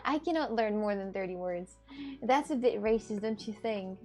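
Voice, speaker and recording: A woman's voice: mid-range voice, animated, moderate pace, darkish tone, faint music behind. A woman from Russia with a Russian accent, streaming in casual chat, arguing and breaking into disbelieving laughs and cries.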